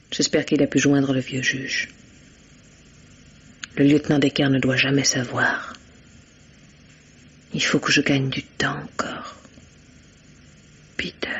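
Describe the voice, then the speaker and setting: An actress playing a troubled, tender young wife with a secret in a French radio mystery play, fragile and dramatic. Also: female voice, extremely low pitch, husky, exaggerated voice. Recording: dull, band-limited radio recording.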